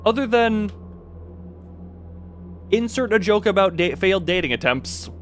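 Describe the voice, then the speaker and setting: A man's voice: high-pitched, expressive, a little slow, over music. A man chatting casually on a gaming livestream and reading game notes aloud, rambling, easily sidetracked and stumbling over words. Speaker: live streamer.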